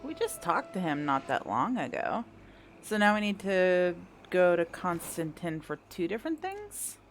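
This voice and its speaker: Low-voiced woman, lively, unhurried game commentary. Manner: wry, self-joking, thinking aloud. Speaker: gaming content creator. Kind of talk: casual playthrough commentary. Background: faint game music.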